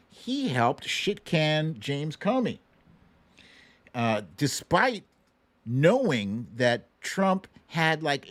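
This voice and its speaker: Guy doing a political livestream, sarcastic n worked up, sweary, slow talker w big pitch swings. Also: darkish tone, dry recording. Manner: sarcastic, indignant, profane. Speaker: livestream host. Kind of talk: casual political commentary to a live chat.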